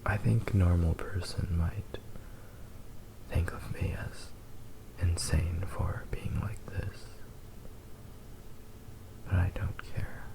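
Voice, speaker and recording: A man playing a possessive, devoted young fox spirit lover in a breathy, soothing whispered ASMR roleplay. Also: low-pitched, level tone, heavily acted, low-quality recording. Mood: devoted, adoring. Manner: slow, tender, soothing, intimate.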